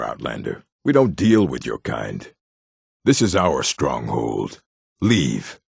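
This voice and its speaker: An actor playing a gruff, proud orc in a fantasy video game, blunt and stern, with a deep, husky, gravelly voice. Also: male voice, no echo, clean studio sound, exaggerated voice. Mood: hostile, dismissive. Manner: blunt, stern, terse, solemn. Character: gruff, proud, hostile male orc of a stronghold.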